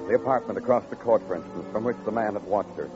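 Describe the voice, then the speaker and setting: Muffled old radio recording with prominent music behind it. An actor plays a hardboiled male police detective narrating his case in a low voice. He is wry and world-weary, brooding over each clipped phrase in classic radio noir style. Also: quick pace, lightly acted. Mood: somber. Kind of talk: 1950s radio crime drama acting, noir narration.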